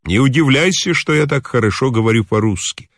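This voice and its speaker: A man voicing a kindly, talkative old mountain healer in a story, with a calm, instructive, measured manner. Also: medium pitch, no room sound, clean studio sound. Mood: calm, reassuring.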